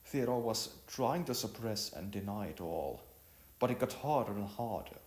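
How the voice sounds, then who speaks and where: A man reading a story aloud as an amateur narrator, haltingly, often repeating and correcting his words. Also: on the low side, animated, darkish tone, echoey room.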